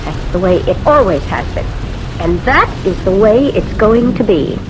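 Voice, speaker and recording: An actress playing a domineering houseguest in a radio drama, scolding and giving orders. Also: female voice, medium pitch, sing-song, moderate pace, over music, close to an everyday voice, poor audio. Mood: bossy, scolding. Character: bossy, swaggering woman houseguest who gives orders.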